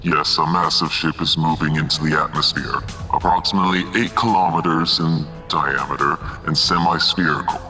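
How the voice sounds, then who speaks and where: A man playing a cool, calculating mastermind in an audio drama, with a measured, formal delivery, music playing beneath. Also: a bass voice, steady tone, sharp tone. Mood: cool, pleased. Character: cool, calculating male mastermind of a secret organization.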